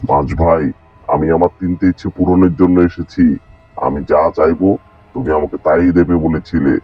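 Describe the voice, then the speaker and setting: Man as a deep-voiced honest village fisherman. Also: fairly even intonation, normal speed, slightly rough. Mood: calling, earnest. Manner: earnest, questioning. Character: honest, kind village fisherman, questioning and unselfish. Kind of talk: animated folk tale acting. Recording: dull lo-fi sound, music behind.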